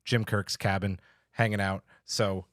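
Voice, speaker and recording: A man's voice: on the low side, flat delivery, measured pace, a bit bright, no echo, clean studio sound. A man hosting a podcast in an enthusiastic, casual, chatty way. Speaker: podcast host.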